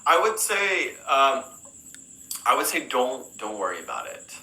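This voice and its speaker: An American man, an English teacher, answering interview questions in a relaxed, rambling way, full of fillers and restarts. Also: medium pitch, unhurried, vocal fry, roomy sound, poor audio. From United States.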